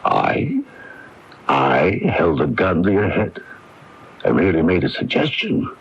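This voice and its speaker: Very deep, gravelly mob man. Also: wildly swooping pitch, exaggerated voice. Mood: quietly menacing. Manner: measured, formal, deliberate. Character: coldly courteous, menacing mob-connected man. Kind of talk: radio mystery drama acting. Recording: muffled, hissy AM radio recording.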